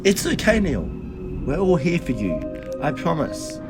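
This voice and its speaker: A man plays an easygoing, supportive companion in a sci-fi audio drama. The voice is fairly high and varied in pitch, reassuring, beneath loud music. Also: wildly swooping pitch, measured pace.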